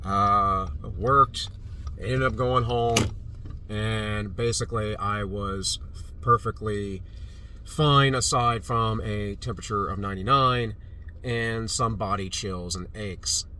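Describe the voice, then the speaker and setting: A man's voice: on the low side, slow, sharp tone. A man recovering from a cold who talks in a rambling, dismissive way, with many fillers and restarts. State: recovering from a cold, slightly stuffy. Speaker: vlogger. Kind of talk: casual opinion monologue. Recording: distant mic with street noise.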